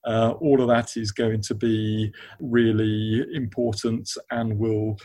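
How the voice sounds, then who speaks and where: Mellow, even-toned man thinking aloud. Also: fairly low pitch, slow, no room sound. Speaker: investment funds expert, podcast guest. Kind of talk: unscripted expert interview answers.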